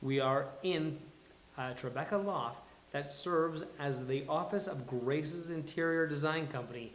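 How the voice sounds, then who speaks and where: A man hosting a live table read, announcing and reading stage directions in a halting, deliberate way. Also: a little high, a little hiss or hum. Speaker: host and stage-direction reader. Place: live venue with audience. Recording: echoey live venue.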